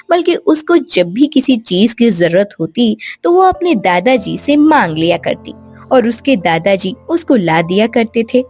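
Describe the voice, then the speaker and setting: A woman's voice: mid-range voice, darkish tone, slightly rough. A woman narrator reading a scripted moral story for young listeners. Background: background music.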